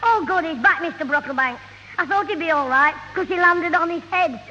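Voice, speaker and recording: A piping, bright child's voice, a cheeky little Northern English schemer in a radio comedy. He sounds quick-witted and mock-innocent on a muffled old broadcast. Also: very high voice, in a large hall, a little hiss or hum, exaggerated voice. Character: cheeky, scheming schoolboy imp. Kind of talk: 1970 radio sitcom acting.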